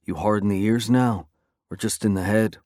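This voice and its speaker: A man voicing a cocky young man who calmly goads his old friend into a fight. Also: lowish voice, moderate pace, dry recording, clean studio sound, close to an everyday voice. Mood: taunting.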